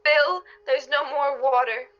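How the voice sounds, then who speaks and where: A woman's voice: high-pitched, fairly even intonation, unhurried, faint music behind. A woman playing a fretful, pleading wife and mother in a radio drama, anxious and close to despair. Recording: dull, band-limited recording.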